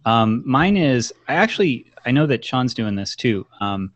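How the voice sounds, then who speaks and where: A man's voice: mid-range voice, sing-song, a little slow, no echo, highs cut off. A man hosting a podcast in a casual, informal and rambling chat style. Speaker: podcast host.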